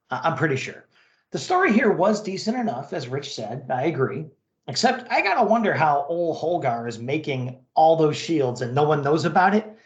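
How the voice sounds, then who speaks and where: Higher-voiced male podcaster in echoey room. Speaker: podcast co-host. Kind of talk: comic-book podcast narration and commentary.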